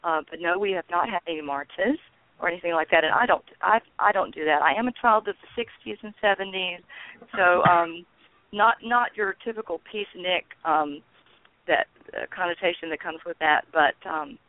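A woman's voice: on the low side, no echo. An older woman speaks as an interview guest, feeling her way through her answers with frequent ums and false starts. Her voice comes down a narrow, tinny phone line. The sound is dry with no room around it. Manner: halting, with many ums and restarts. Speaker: guest, founder of a peace network. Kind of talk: casual radio interview.

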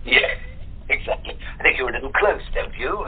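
A man chatting casually and jokingly as an actor guest in an interview, his voice husky and rough. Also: medium pitch, very animated, brisk. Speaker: radio play actor as guest. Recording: tinny, narrow phone-line sound.

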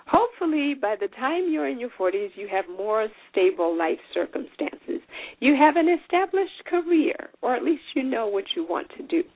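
A woman's voice: high voice, sing-song, normal speed, dry recording. A woman in her forties hosting a solo podcast, talking in a chatty, folksy, encouraging way. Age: 40s. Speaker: podcast host. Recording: tinny phone-like sound.

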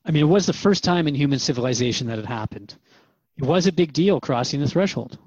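A man's voice: a little high, expressive, fast talker, darkish tone, dry recording. Reflective man, dry muffled recording. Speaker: interviewer in a radio drama series. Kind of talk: conversational radio drama dialogue. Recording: dry, slightly dull audio.

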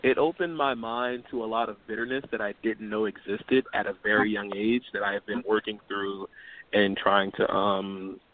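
A man's voice: on the low side, steady tone, moderate pace. A man, calling in and talking politely with many restarts and apologies. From Louisiana, USA. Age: 20s. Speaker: caller. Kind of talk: casual call-in chat. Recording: tinny phone line with faint noise.

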